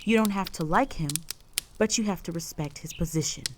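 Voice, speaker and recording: A woman playing a stern, proud, possessive female orc chieftain in a roleplay audio drama, speaking in a formal, measured, authoritative way. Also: lowish voice, slight background noise, close to an everyday voice. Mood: commanding, stern.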